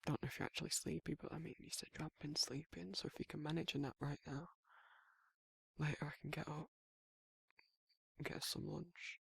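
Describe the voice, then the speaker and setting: Husky, gravelly protective werewolf boyfriend played by a man. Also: on the high side, level tone, fairly quick, pauses often, dry recording, exaggerated voice. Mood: gentle, caring. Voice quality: husky, breathy, gravelly, often dropping to a whisper. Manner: casual, colloquial, soft-spoken intimate murmur with soft chuckles. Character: protective, teasing, affectionate male werewolf boyfriend, down-to-earth. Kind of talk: intimate ASMR boyfriend roleplay.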